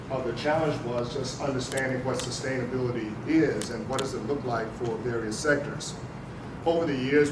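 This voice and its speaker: A middle-aged man giving a measured, businesslike overview of his organization on a panel. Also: mid-range voice. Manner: measured, businesslike, few fillers. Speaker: executive director on a panel. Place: large conference room. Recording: echoey hall, mic at a distance, noisy.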